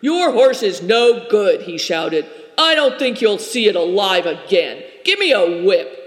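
A reader voicing a fierce old male rider who shouts commands, in a roomy space with long reverb. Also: medium pitch, lively intonation, a little slow, pitched above the performer's own voice. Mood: barking orders.

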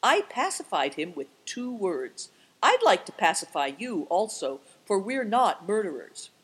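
A woman voicing a sly, unruffled man in an audiobook story, gently evasive and teasingly polite. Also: medium pitch, sing-song, measured pace, slightly rough, low-quality recording. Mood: politely mocking. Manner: gentle, evasive, mock-inviting. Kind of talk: audiobook character voice.

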